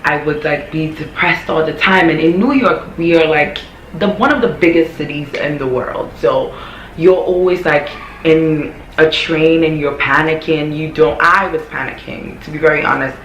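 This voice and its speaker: A young woman with a hoarse, worn voice, chatting candidly to her vlog viewers and repeating phrases for emphasis. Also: deep voice, steady tone, moderate pace, a bit bright, a little hiss or hum. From Ghana. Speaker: vlogger. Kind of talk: casual talking-to-camera vlog. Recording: echoey room.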